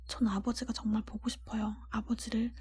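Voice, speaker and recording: A woman voicing a kind-hearted, honest young storybook heroine in a soft breathy whisper, earnest and polite. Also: on the high side, level tone, fairly quick, natural voice. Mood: pleading.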